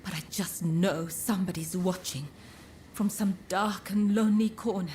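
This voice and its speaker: An actress playing an elegant, teasing courtesan in a video game cutscene, with refined, coquettish phrasing. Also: female voice, medium pitch, moderate pace, warm tone, a little hiss or hum, lightly acted. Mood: coy, pleading. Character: elegant, coy, teasing courtesan woman with powerful connections.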